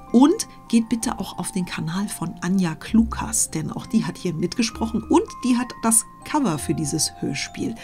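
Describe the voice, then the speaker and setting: A middle-aged woman hosts her channel. She chats casually to viewers in a medium-pitched voice, close to the mic. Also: darkish tone. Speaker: channel host.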